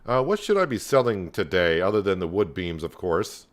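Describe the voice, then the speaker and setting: A man calmly talking viewers through a farming game on a livestream, in a soothing, unhurried way. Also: low-pitched, a little hiss or hum. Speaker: game streamer. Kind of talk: casual gameplay commentary.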